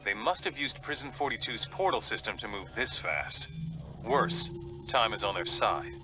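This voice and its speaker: An actor playing a grave, analytical strategist delivering a video game mission briefing. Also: male voice, on the low side, animated, normal speed, background noise, natural voice.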